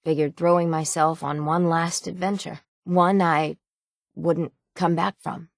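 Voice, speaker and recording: A woman playing a gruff, world-weary, sardonic island sailor in a video game, with salty, plainspoken folksy phrasing. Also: on the low side, little pitch movement, unhurried, no echo, studio quality. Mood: weary, resigned. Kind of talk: video game character dialogue.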